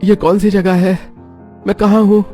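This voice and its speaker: Guy doing a confused injured young man, voice pushed higher, pained n strained, story drama. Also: level tone, moderate pace, warm tone, faint music behind, close to an everyday voice. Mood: dazed. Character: dazed, injured young man with lost memory. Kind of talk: dramatized moral story acting.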